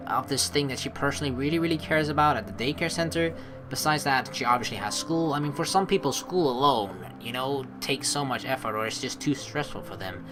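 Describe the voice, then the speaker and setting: A man hosting a let's play, informal and rambling, moving between casual commentary and reading story text aloud. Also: fairly high pitch. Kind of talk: casual game commentary mixed with dramatic read-aloud narration. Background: game music.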